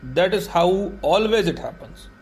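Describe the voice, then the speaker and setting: Male actor as authoritarian zealot, high voice, harsh, ranting, uncompromising, radio play. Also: lively intonation, unhurried, noisy background. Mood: harsh, fanatical. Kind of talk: audio drama acting.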